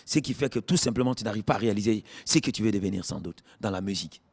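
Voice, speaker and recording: A man's voice: lowish voice, expressive, fast talker, creaky, darkish tone, breathy edge. A man with a Guinean accent hosting a TV music show, interviewing an artist and addressing viewers in declamatory phrases. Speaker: TV show host. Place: at the artist's home.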